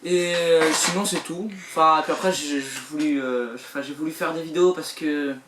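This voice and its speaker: A teenage boy from France, a guest in a casual chat, answering in a hesitant, halting way. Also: a little high, steady tone, far from the mic, background noise. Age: teens. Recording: echoey room, off-mic.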